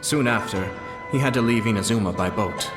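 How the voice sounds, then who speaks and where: A man playing a composed young nobleman in a video game, speaking in a measured, formal and courteous way with soft amusement. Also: on the low side, natural voice. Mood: measured, serious. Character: composed young nobleman, clan head, polite and quietly cunning. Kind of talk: video game character dialogue. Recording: roomy echo under clear background music.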